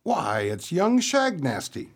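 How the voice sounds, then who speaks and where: Man as a husky, wisecracking city fellow. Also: on the high side, wildly swooping pitch, takes it slowly, full character voice. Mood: brisk, surprised. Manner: slangy, brusque. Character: nervous, wisecracking city son of the caretaker. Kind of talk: radio play acting.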